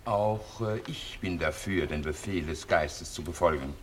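An actor playing a courtly, sceptical learned doctor in a German radio play, measured and formal. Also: male voice, sits low, slight background noise. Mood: measured, deferential.

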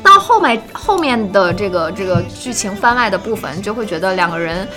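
A woman hosting a casual live stream, chatty and expressive, often repeating words for emphasis. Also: medium pitch, a little fast, over music. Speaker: live-stream host for an audio drama.

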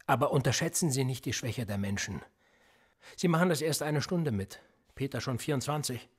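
An actor playing a calm, manipulative male police interrogator in a radio play, pressing with pointed questions. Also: medium pitch, moderate pace, slightly rough. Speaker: police officer.

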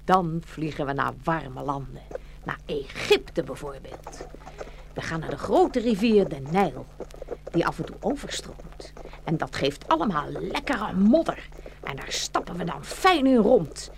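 A woman playing a motherly stork in a children's fairy-tale radio play, brisk and animated, scolding and coaxing by turns. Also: low-pitched, gravelly, noisy background, exaggerated voice. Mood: coaxing, playful.

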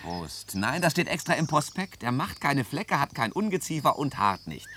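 A man's voice: medium pitch, sing-song, moderate pace, bright, forceful tone. An actor playing an eager, naive father and hobby builder in a German radio play, earnest and enthusiastic. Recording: noisy archival radio play recording.

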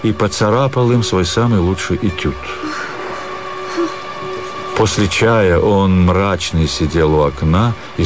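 Deep guy reading a classic story as radio narrator, measured and expressive, music behind him. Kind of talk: scripted literary narration, radio drama.

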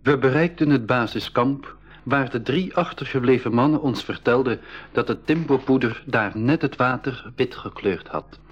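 A man plays an expedition member recounting his adventure for a radio drama. His mid-pitched voice tells the story in a measured way. The 1980s recording sounds dull. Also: close to an everyday voice. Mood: measured storytelling. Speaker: narrator. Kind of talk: scripted first-person radio drama narration.

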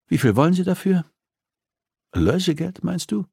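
Man voicing a defiant captive policewoman, raised pitch. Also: very animated, moderate pace, creaky, a little mellow, slightly rough, no echo, clean studio sound, exaggerated voice. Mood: wary, probing. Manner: defensive, stubborn, strained. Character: defiant captive female homicide detective under interrogation.

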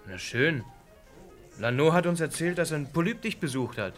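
An actor playing a cocky, teasing young student in a German radio play, flippant and breezy in manner. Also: male voice, on the high side, expressive, moderate pace, faint music behind, lightly acted.

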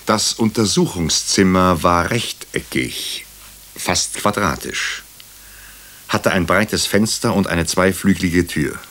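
An actor playing a wry hospital doctor who narrates a crime case in a radio drama, dry and ironic. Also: male voice, deep voice, takes it slowly, a bit bright. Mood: measured narration.

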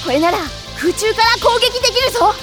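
A young boy robot hero in an anime radio play. The voice is very high and boyish, resolute and earnest, with clear music behind it. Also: expressive, a little fast. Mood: heroic, urgent. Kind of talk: 1990s anime audio drama acting.

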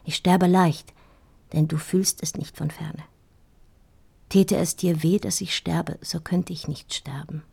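Breathy, dark-voiced heartbroken dying woman. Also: low voice, fairly even intonation, full character voice. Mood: pleading, resigned. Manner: breathy, halting, repeating words in anguished whispers. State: feverish, weak, grieving. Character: heartbroken dying woman writing a farewell love letter. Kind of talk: intimate German radio drama monologue.

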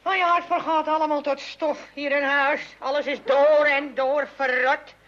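A woman's voice: sits high, little pitch movement, measured pace, fairly bright tone. An actress playing a doom-laden, indignant woman of the household in an old-fashioned radio comedy, lamenting theatrically. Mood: despairing. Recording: dull, noisy old radio recording.

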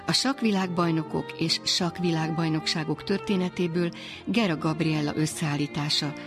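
A woman hosting a radio program, reading scripted links in a clear, measured announcing manner. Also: sits low, steady tone, over music.

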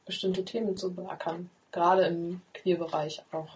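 Low-voiced young woman, easygoing. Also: level tone, moderate pace, noisy background. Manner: easygoing, hesitant with fillers. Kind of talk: casual chat.

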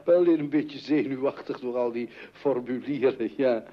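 A man's voice: medium pitch, slow pace, natural voice. An actor playing a fussy, flustered middle-aged father in an old-fashioned radio comedy, with a blustering, repetitive way of talking. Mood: nervous, flustered. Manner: blustering, exclamatory, repeating himself. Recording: dull, noisy old radio recording.

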